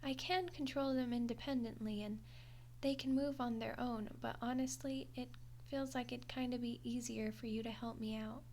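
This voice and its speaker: A woman's voice: on the high side, steady tone, moderate pace, a little mellow, slight background noise, natural voice. A woman voicing a friendly, awkward undead skeleton girl in an audio roleplay, chatty and hesitant with a wry, defensive streak. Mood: friendly, sheepish. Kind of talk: audio roleplay monologue to the listener.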